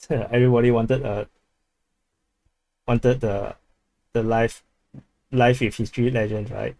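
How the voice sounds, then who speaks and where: A man's voice: medium pitch, fairly even intonation, slow, creaky, darkish tone. A man from Singapore with a Singaporean English accent, hosting a casual livestream, rambling with many restarts while reading chat aloud. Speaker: livestream host.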